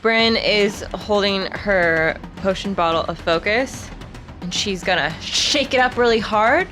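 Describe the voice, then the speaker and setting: A woman's voice: mid-range voice, unhurried, fairly bright tone. A woman casually narrating her character's actions as a player in a tabletop game, with music behind her. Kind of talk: casual tabletop play talk.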